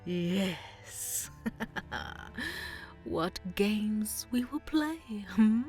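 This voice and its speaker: A woman's voice: medium pitch, expressive, darkish tone, faint music behind. A woman playing a sadistic, theatrical alien warlord in an audio drama, with a purring, menacing delivery. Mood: sadistic delight. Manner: languid, purring, drawn-out delivery with menacing relish.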